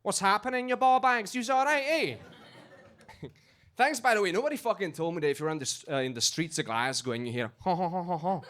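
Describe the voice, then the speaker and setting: A Romanian-born man doing stand-up with a strong Glaswegian accent. His voice is fairly high and swings widely, and he throws his lines out punchily. He performs on a basement club stage. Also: expressive, a little fast, fairly bright tone. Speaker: stand-up comedian. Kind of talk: stand-up set.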